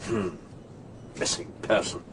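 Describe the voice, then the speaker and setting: An actor playing a gruff senior police inspector in a radio crime drama, weary and wry. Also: male voice, slow pace, background noise, close to an everyday voice. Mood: wry, grim.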